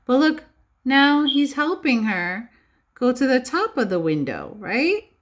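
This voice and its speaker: Female preschool teacher for storytime read-aloud: unhurried, highly expressive, questioning and instructive. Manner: expressive, slow and instructive, often checking with rhetorical questions. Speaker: early-years classroom teacher reading a picture book aloud. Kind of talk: animated read-aloud for young children, with questions and asides.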